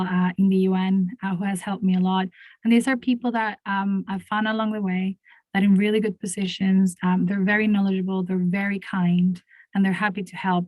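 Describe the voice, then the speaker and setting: Woman with venezuelan accent, has a cold, monotone delivery, dry close sound, video call. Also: medium pitch, no room sound, low-quality recording. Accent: Venezuelan Spanish. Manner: enthusiastic, halting with restarts. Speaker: panel speaker, mentoring program lead. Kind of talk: online panel talk.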